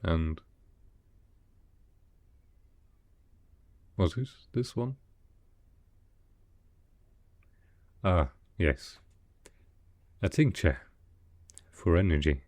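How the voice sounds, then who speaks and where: A man performs a sickly, melancholy male aristocrat in an intimate audio drama. The voice is very deep and dark, recorded dry and close. He speaks slowly and softly, with formal, proud reserve. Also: sing-song, no room sound, heavily acted. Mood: weary, wry. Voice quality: low, soft, with a cough. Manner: formal, measured, dryly reserved. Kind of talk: roleplay ASMR audio drama.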